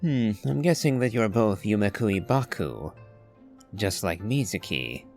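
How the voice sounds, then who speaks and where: A man comments casually over a video game in a low, creaky voice. He talks slowly and thoughtfully, with game music in the background. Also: lively intonation, a little mellow. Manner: measured, musing. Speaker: game streamer.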